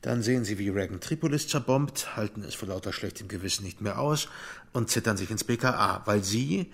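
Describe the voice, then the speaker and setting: An actor playing a condescending intelligence interrogator in a radio crime drama, sardonic and coolly formal. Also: male voice, on the low side, a little fast, gravelly, a bit bright, husky, exaggerated voice. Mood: sardonic, mocking.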